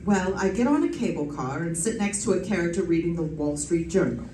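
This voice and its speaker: A woman playing a wry, quick-witted female private detective in an old-time radio drama, with a sardonic, snappy delivery. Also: lowish voice, lively intonation, mellow, slight background noise, close to an everyday voice. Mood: businesslike, wry. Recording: roomy, distant stage pickup.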